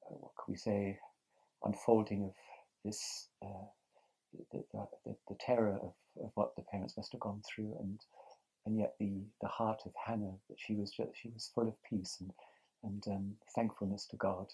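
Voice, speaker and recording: A man in his sixties from England, answering interview questions outdoors in a thoughtful, halting way with frequent restarts. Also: fairly low pitch, level tone, dark tone, breathy edge, rough recording. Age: 60s. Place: outdoors on a terrace by a lake.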